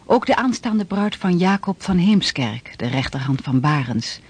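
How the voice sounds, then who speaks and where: A man narrating a historical radio drama in a measured, literary, declamatory way as a grave chronicler. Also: high-pitched, sing-song, breathy edge, heavily acted.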